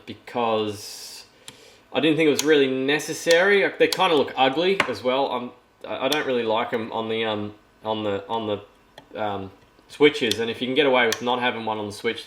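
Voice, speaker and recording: A man talking through a build report in a casual, rambling way, full of ums and restarts, his voice croaky from having partly lost it. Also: mid-range voice, a bit bright, echoey room, a little hiss or hum.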